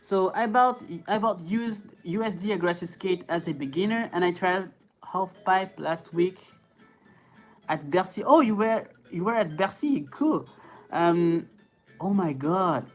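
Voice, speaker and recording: A man's voice: high-pitched, a little slow. A man with a French accent, a native French speaker, hosting a live stream where he reads chat questions aloud, hesitating with fillers and laughing often. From France. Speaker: inline skating streamer. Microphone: phone. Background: faint background music.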